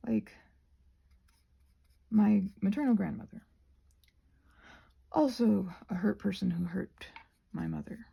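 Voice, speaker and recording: Casting: woman, heavy vocal fry, mellow and husky, for a halting, intimate craft-vlog ramble that drifts toward whispering. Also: mid-range voice, lively intonation. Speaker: host of a craft ramble video. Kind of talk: casual rambling chat, ASMR channel.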